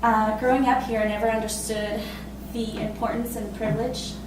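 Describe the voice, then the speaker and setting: A woman in her twenties from Costa Rica, telling her own story in a casual, halting way with many fillers and laughs. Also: on the high side, little pitch movement, heavy reverb, far from the mic, background noise. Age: 20s. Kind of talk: personal spoken testimony.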